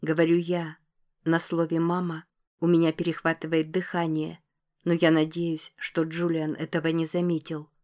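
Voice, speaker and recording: Low, very even female narrator. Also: normal speed. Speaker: audiobook narrator. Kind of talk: first-person scripted fiction narration.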